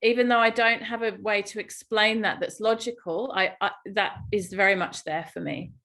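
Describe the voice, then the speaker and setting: A middle-aged woman speaks with an English accent at a medium pitch. She is a podcast guest, telling personal stories in a conversational way. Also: fairly even intonation, moderate pace, a bit bright. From England. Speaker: guest, yoga teacher and Ayurveda guide.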